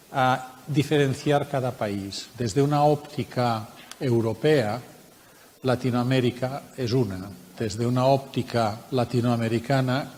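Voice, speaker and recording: A man with a Spanish accent from Spain, presenting a research project at a conference workshop in a measured, explanatory way with frequent hesitations. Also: mid-range voice, darkish tone, long reverb tail, a little hiss or hum. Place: conference room.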